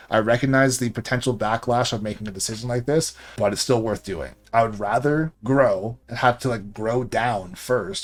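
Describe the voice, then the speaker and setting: A man, a content creator and coach, talking casually to his viewers in a rambling monologue full of fillers. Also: mid-range voice. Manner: conversational, rambling with frequent fillers.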